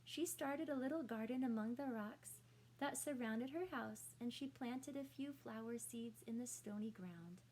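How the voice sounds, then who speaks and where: A woman's voice: a little high, a little slow, a little mellow, slight background noise, low-quality recording. A woman teacher reading a picture book aloud to children, adding explanatory asides and questions for young listeners.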